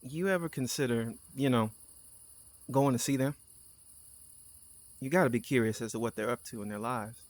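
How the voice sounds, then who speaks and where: A man's voice: medium pitch, moderate pace, long pauses, lightly acted. A man playing an easygoing, teasing, candid crewmate on a mission in a naturalistic audio drama, talking casually. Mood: curious, nudging. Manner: casual, conversational.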